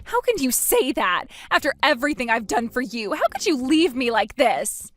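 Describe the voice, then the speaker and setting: A woman's voice: sing-song, normal speed, faint music behind. A woman voicing a desperate, self-pitying sister-in-law who pleads and whines. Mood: pleading. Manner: pleading, shrill and tearful.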